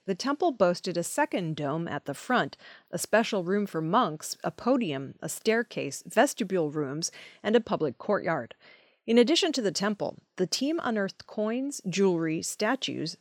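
A woman's voice: fairly low pitch, dry recording, clean studio sound. A woman reading scripted news stories as the presenter of an audio news program. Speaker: news reader.

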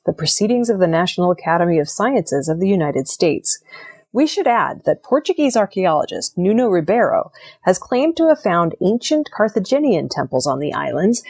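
A woman presenting scripted news narration in a measured, explanatory way. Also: medium pitch, a little mellow, husky.

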